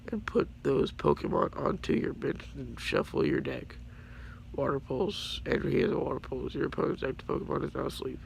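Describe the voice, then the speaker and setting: A teenage boy with a raspy, deeply gravelly voice, worn down by a few days of being sick. He rambles casually and apologetically through his card collection, tripping over names as he goes. The home recording is low quality, with a faint hiss underneath. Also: poor audio.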